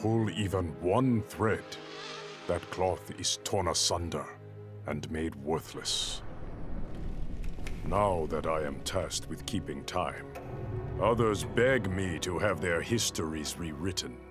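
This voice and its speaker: An actor playing a warrior emperor turned keeper of time, speaking in grave, measured tones. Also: male voice, deep voice, music in the background. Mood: solemn. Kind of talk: game cutscene narration.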